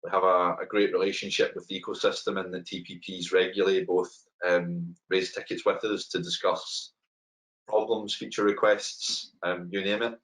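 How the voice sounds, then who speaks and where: Deep-voiced Glaswegian man presenting. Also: moderate pace, rough recording. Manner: relaxed and conversational, frequent ums and repeated words. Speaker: head of open banking technology, webinar speaker. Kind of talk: webinar presentation. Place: home room, indoors. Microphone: webcam on a video call.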